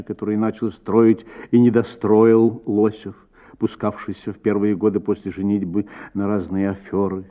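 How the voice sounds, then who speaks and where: A man narrates literary prose in a low, highly animated voice. He phrases it dramatically and with measure, stretching out words as a stage actor would on radio. The sound is thin and band-limited, like a phone line. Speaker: actor reading a short story as narrator.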